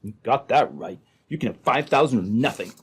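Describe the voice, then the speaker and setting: A man's voice: mid-range voice, expressive, fairly quick, slightly rough. Man as a blunt crooked company man. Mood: defiant, threatening. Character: crooked, hard-bitten trading company man in debt. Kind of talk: old-time radio drama acting.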